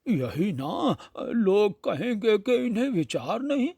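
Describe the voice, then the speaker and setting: A man's voice: high voice, big pitch swings, slow, vocal fry, a little mellow, slightly rough, dry recording, studio quality, pitched above the performer's own voice, exaggerated voice. A man voicing a frail old village woman, hungry and brooding, muttering plaintively to herself in a storybook reading. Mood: greedy, defiant.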